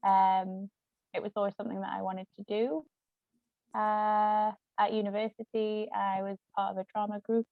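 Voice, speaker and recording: A woman's voice: mid-range voice, little pitch movement, moderate pace, vocal fry, dry recording. A woman guest chatting casually and telling anecdotes about her own work. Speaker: guest, actress and author. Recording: thin phone-like audio, dry.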